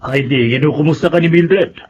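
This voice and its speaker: Higher-pitched Filipino master of the house. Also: male voice, a little fast, a little mellow, husky, dull audio. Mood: considerate. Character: fair-minded middle-aged father and household employer. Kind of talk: Filipino radio drama acting.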